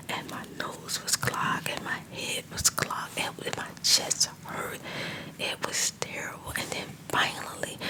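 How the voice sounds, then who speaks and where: Whispering woman, ASMR storytime. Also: poor audio. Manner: fully unvoiced whisper, rambling and chatty. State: getting over a cold. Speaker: ASMR channel host.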